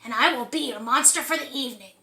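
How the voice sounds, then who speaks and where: Lady as a nerdy polite monster guy, breathy and dropped lower, kids book, roomy sound. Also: fast talker, pushed and bright, far from the mic. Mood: polite, earnest. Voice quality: low, breathy. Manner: polite, earnest. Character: mild, bookish male substitute monster, courteous, unscary. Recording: echoey room.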